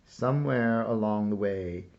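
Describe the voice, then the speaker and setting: A man narrating a children's storybook aloud in a measured, deliberate way with pauses. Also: lowish voice, gravelly, a little mellow, dull audio. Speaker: storyteller reading a picture book aloud.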